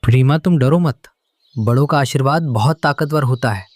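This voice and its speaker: A woman plays a friendly male pigeon in a cartoon. The voice is deep for a woman, steady and encouraging. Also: normal speed, no echo. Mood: reassuring.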